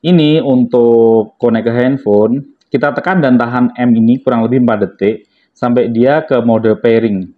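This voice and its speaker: Low-fidelity recording of a casual man reviewing. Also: moderate pace, narrow, tinny audio. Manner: informal, explains step by step to viewers. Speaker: product reviewer, vlogger. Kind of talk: casual unboxing and product demonstration.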